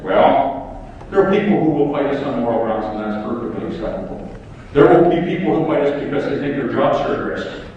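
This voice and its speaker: A man's voice: medium pitch, darkish tone, a little hiss or hum. An older man, a public health official giving an impassioned conference speech full of rhetorical questions. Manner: emphatic, rallying, rhetorical questions. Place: large lecture hall. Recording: echoey hall, distant mic, dull sound.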